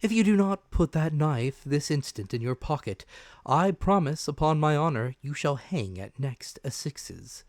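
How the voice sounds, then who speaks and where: A man voicing a stern, fearless doctor and magistrate in a storybook, speaking formally with firm, measured command. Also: a little high, expressive, darkish tone, dry recording, pitched above the performer's own voice. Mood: stern warning.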